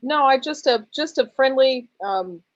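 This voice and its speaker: A woman asking questions at an online town hall, polite and hesitant, with many pauses and ums. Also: high voice, lively intonation, dull audio.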